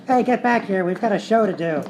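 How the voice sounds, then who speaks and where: An actor playing an eager, insistent radio comedy presenter who explains earnestly in a live sketch. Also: male voice, sits high, brisk, noisy background. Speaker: show host.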